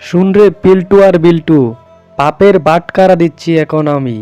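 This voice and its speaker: A man's voice: sits high, normal speed, dark tone, clean tone, lo-fi sound, faint music behind, lightly acted. A man voicing a stern, fretful father fox in a cartoon, scolding and lamenting. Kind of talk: cartoon voice acting.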